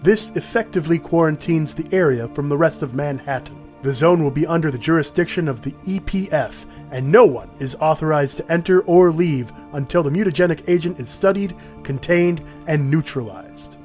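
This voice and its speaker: A man performs a male government official delivering a public address. His voice sits a little high for a man. He is measured and formal, as in a dramatized comic, with light music underneath. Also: a little mellow, lightly acted. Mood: solemn, official. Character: male government official announcing a mutant quarantine.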